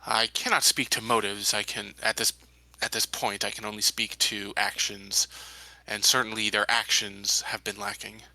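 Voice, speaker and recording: A man playing a guarded, authoritative colony security chief in a tabletop roleplay, talking in a measured, careful, official way. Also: on the low side, little pitch movement, sharp tone, dry recording, poor audio. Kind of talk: improvised tabletop roleplay dialogue.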